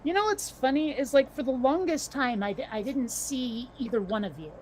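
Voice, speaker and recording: A woman's voice: sits high, animated, normal speed, slight background noise, rough recording. A woman chatting casually in a wry, rambling storytelling way. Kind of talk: casual chat.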